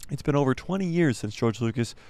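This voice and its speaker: A man hosting a casual livestream, reading an article aloud and commenting as he goes, stumbling and correcting himself, his voice going hoarse. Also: mid-range voice, lively intonation, fast talker, darkish tone. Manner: rambling, stumbles and self-corrects, laughs at himself. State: losing his voice, clearing throat. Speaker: YouTube livestream host.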